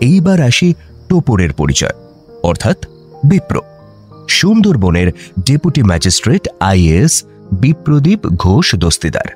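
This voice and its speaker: Highly animated male story narrator. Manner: playful, addresses listeners directly. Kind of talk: dramatic scripted story narration.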